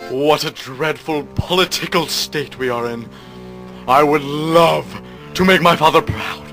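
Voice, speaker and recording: A man's voice: on the high side, measured pace, fairly bright tone, faint music behind. A man playing an earnest, idealistic young son in a comic melodrama, speaking in a solemn, declamatory way. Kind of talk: comic audio melodrama acting.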